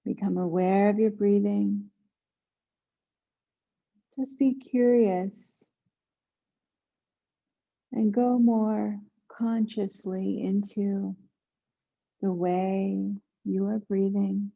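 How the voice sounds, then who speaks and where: A woman guiding a yoga nidra meditation in a hushed, soothing manner, leaving long pauses between instructions. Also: medium pitch, fairly even intonation, vocal fry, narrow, tinny audio. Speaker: meditation guide.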